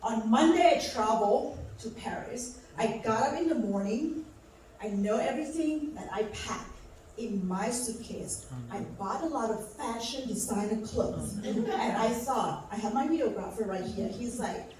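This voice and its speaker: A woman from Thailand with a Thai accent, telling her personal story in a halting speech with restarts. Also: fairly high pitch, animated, darkish tone, slight background noise. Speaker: speaker. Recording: echoey hall from far off.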